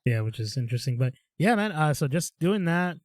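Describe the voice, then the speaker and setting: A young man co-hosting a casual podcast, rambling and animated as he tells stories. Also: on the high side, brisk, no room sound, studio quality. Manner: rambling and animated, with upbeat storytelling. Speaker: podcast co-host. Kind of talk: casual chat.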